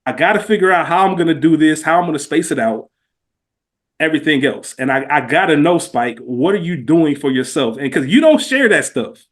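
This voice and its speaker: A man's voice: on the high side, lively intonation, brisk. A man co-hosting a podcast in casual, heartfelt conversation, speaking earnestly in informal, slangy language and asking probing questions. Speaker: podcast co-host.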